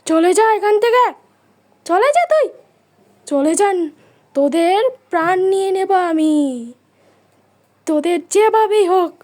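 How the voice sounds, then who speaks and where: A woman's voice: very high voice, animated, unhurried, long pauses, darkish tone. A woman voicing a menacing, taunting witch ghost who devours travellers in a cartoon story. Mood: threatening. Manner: threatening, taunting, scolding.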